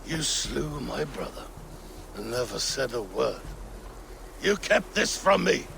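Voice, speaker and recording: A worn out grieving viking king in a video game, slow gravelly talk, noisy ambience behind. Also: mid-range voice, fairly bright tone. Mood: wounded, brooding. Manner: slow, halting, solemn storytelling delivery. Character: grieving, weary, ailing Viking king, proud and brooding. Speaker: video game character actor. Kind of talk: dramatic game cutscene acting.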